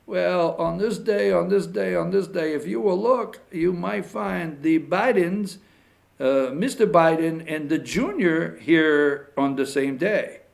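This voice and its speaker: A man acting out a chummy, insinuating Ukrainian oligarch making a phone call, in a halting, conspiratorial way. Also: on the high side, gravelly. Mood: sly, hinting.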